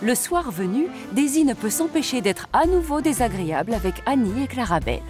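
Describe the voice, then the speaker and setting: A woman's voice: a little high, big pitch swings, fairly quick, over music. A woman reading scripted narration for a French children's cartoon, as its storyteller.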